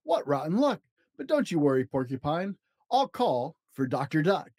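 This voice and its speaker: A man voicing a grateful, excitable zookeeper who gushes and exclaims in a children's storybook. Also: a little high, normal speed, no echo, clean studio sound. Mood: concerned. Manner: gushing, exclamatory.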